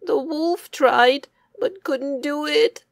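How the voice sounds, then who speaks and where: A woman's voice: high voice, slow, no echo, studio quality, voice pushed up. A woman voicing a sad, meek little hare in a plaintive storybook voice. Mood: sad, doubtful.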